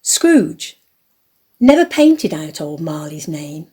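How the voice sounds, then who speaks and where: Husky, mellow woman narrating. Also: deep voice, sing-song. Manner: deliberate, emphatic storytelling. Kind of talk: dramatic storybook narration for an audio play.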